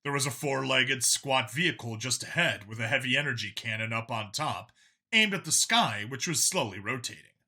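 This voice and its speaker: A man's voice: medium pitch, animated, normal speed, sharp tone. A man reading a scripted science fiction story aloud as a dramatic audiobook narrator.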